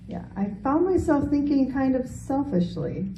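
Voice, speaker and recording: A woman's voice: high voice, big pitch swings, measured pace, dark tone. A woman performing scripted reader's theater as one of the readers in a church hall. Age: 50s. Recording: echoey hall from far off, noisy, background sound.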